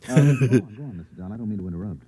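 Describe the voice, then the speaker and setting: A man's voice: lowish voice, lively intonation, rapid-fire, warm tone, lightly acted. An actor playing a skeptical man who placates and probes a troubled stranger, hesitant and conversational, in a 1950s radio drama. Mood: casual, coaxing. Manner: hesitant interjections, conversational questioning. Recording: muffled, faintly noisy vintage radio recording.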